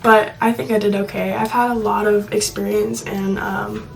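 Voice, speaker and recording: A woman's voice: medium pitch, little pitch movement, moderate pace, creaky, far from the mic. A young woman talking in a chatty, reassuring, informal way, giving casual advice to viewers. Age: young adult. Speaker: video blogger.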